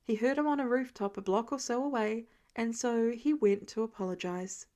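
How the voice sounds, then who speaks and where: High-voiced woman narrating fiction. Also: normal speed, dark tone. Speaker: podfic narrator. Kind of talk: expressive scripted fiction narration.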